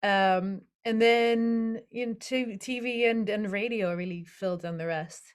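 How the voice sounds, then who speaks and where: A woman's voice: medium pitch, steady tone, unhurried. A young adult woman author being interviewed, talking casually and thoughtfully with many fillers and restarts. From Netherlands. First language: English as first language, also Hebrew and Dutch.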